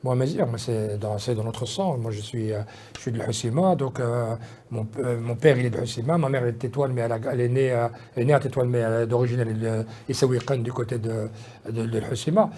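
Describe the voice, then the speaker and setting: A man's voice: medium pitch, fast talker, warm tone, a little hiss or hum. A man, talking casually in an interview, rambling with many fillers and restarts. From Belgium. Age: 50s. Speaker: politician guest.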